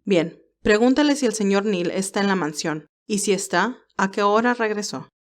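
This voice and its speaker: A woman's voice: medium pitch, expressive, moderate pace, natural voice. Woman reading as a formal, methodical male boss. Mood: businesslike. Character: composed, businesslike male senior aide giving orders.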